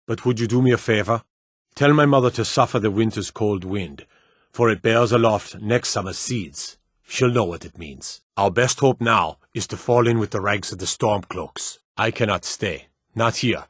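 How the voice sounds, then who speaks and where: A man's voice: lowish voice, dry recording, clean studio sound, natural voice. An actor playing an earnest, grateful man rescued from captivity in a fantasy video game. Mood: urgent. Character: grateful rescued male prisoner, earnest fugitive.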